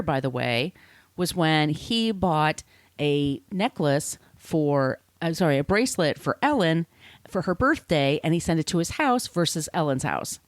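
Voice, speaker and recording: A woman's voice: low-pitched, no room sound, studio quality. A woman podcast host telling a story in casual chat, informal and sweary, with conversational asides.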